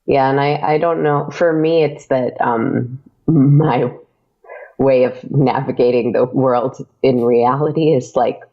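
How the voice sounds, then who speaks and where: A woman's voice: very deep voice, steady tone, slow, dull audio. A woman guest in a casual podcast interview, chatty, with many fillers and restarts.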